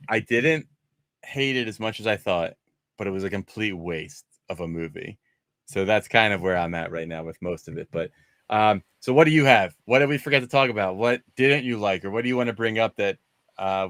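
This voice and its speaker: A man's voice: on the low side, fairly bright tone, no echo, studio quality. A man hosting a casual discussion show, chatting informally and steering the conversation. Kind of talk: casual movie discussion chat.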